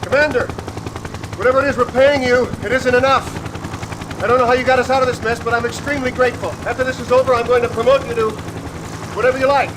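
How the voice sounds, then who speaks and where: An effusive, harried president in a game cutscene. His voice is extremely high for a man and rattles along quickly over clear combat noise. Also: flat delivery. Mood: relieved, urgent. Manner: effusive, hurried. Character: harried, grateful male American president. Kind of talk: video game cutscene acting.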